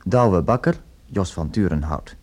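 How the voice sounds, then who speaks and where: A man announcing in a formal, measured style, reading out scripted credits as a radio announcer. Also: deep voice, expressive, darkish tone.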